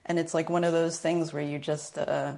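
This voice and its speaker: Low, creaky-voiced American woman. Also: little pitch movement, moderate pace, dark tone. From Northern Virginia, United States. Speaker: guest, martial arts and spiritual teacher. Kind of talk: reflective personal storytelling in conversation.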